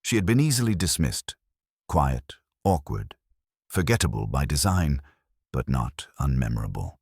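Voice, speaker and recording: A man's voice: rumbling low, very animated, normal speed, vocal fry, breathy edge, no room sound, studio quality. A man reading scripted narration of a detective mystery story.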